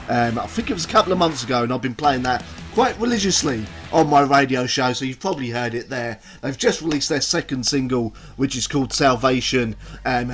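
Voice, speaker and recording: A chatty man talking straight to camera in a loose, rambling way, full of ums and restarts. His voice sits in an ordinary middle pitch and sounds a little stuffed up from a cold and hay fever. Faint music plays softly underneath. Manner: chatty, rambling, many ums and self-corrections. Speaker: music reviewer and show host. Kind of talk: casual video review. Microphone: camera video recording.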